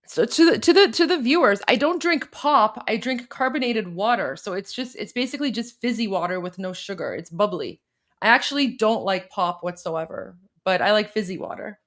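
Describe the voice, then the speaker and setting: A woman co-hosting a live stream in casual chat, chatty and upbeat, her voice going hoarse. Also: medium pitch, expressive, normal speed. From Iran. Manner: chatty and upbeat, addresses viewers directly.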